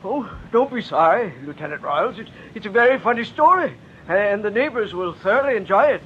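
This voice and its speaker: High-voiced, anguished father, old radio. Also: lively intonation. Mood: bitterly mocking. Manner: theatrical, halting, repeating phrases for emphasis. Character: bitter, anguished middle-aged father turning in his daughter. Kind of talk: old-time radio drama acting. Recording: muffled old radio recording, faint noise.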